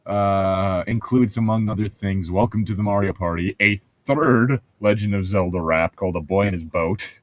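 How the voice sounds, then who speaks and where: A man's voice: on the low side, moderate pace, few pauses, no echo. A man co-hosting a comedic read-along podcast, chatting casually with crude, profane humor. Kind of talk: casual banter between book readings.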